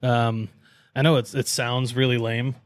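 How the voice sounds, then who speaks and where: A man's voice: medium pitch, level tone, unhurried, dry recording. Laid-back American man, close dry sound. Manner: laid-back, slangy, profane. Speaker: podcast co-host. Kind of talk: casual chat.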